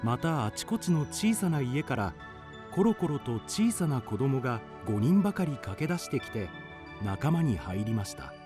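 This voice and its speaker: A man's voice: medium pitch, big pitch swings, moderate pace, music in the background. A man narrating a children's radio drama in scripted storybook style.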